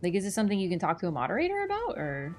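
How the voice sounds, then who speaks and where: A woman's voice: on the low side, wildly swooping pitch, rapid-fire, a little hiss or hum. A woman streamer chatting casually with her chat while she plays a game, laughing easily and answering questions. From Poland. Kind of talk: casual gaming livestream chat.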